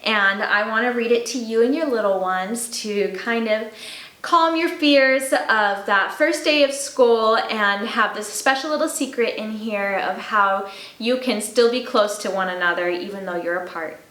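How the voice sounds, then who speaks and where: A woman's voice: a little high, unhurried, fairly bright tone, reverberant. A woman speaking as a storybook reader for kindergarten children. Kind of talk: children's story read-aloud with personal introduction.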